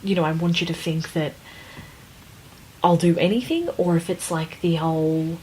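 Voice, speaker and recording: Woman podcast co-host, slightly low voice, hesitant and casual, faint background noise. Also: steady tone, moderate pace, darkish tone, low-quality recording. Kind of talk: casual book discussion.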